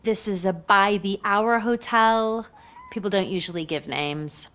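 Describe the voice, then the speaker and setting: A woman playing a bright teasing hotel clerk. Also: mid-range voice, a little slow, natural voice. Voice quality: teasing, cheeky. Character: flirty, sassy hotel receptionist woman. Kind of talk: video game dialogue.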